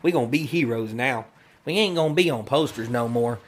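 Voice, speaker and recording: An actor playing a naive, cheerful cowboy sidekick with an American Old West drawl and a simple, folksy manner in a western comedy audio drama. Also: male voice, mid-range voice, fairly quick, lightly acted. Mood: proud. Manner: simple, folksy, earnest malapropisms.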